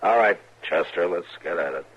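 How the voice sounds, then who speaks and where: An actor playing a grave, plainspoken American frontier marshal in a 1950s radio western, laconic and courteous, with a gravelly, husky voice. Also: male voice, sits low, animated, moderate pace, fairly bright tone, full character voice. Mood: reluctant, firm. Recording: dull 1950s radio recording.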